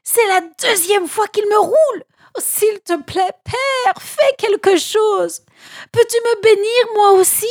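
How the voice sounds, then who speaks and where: A woman's voice: very high voice, slow pace, dry recording, clean studio sound, voice pushed up, exaggerated voice. A woman voicing a passionate, despairing eldest son pleading in a storybook tale. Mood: desperate, pleading. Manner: pleading, emotional.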